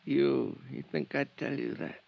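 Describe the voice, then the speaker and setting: A cornered, defiant killer in a vintage radio crime drama. His voice is gravelly and breaks into short, bitter phrases. The recording is dull and narrow, like an old broadcast. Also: mid-range voice, steady tone. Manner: halting, clipped sentences with bitter laughs.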